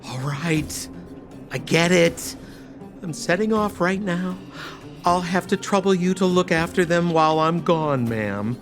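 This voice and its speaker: A man's voice: a little high, expressive, unhurried. A man playing a glib, evasive wheeler-dealer in a video game, theatrical and wheedling, with music behind. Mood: conceding. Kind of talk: scripted game cutscene acting.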